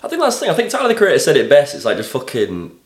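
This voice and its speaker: Casual podcast host, animated pitch, roomy echo. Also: very fast. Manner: relaxed, reads out listener questions. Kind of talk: casual chat. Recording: echoey room.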